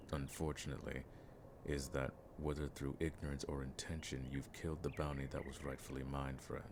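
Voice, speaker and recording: An extremely low-voiced, weathered monster hunter played by a man from a fantasy audio drama. He speaks in dry, formal, world-weary lines with little melodic movement. The gravelly male voice sits over clearly audible background noise. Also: full character voice. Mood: stern, measured. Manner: dry, unhurried, wryly formal speech.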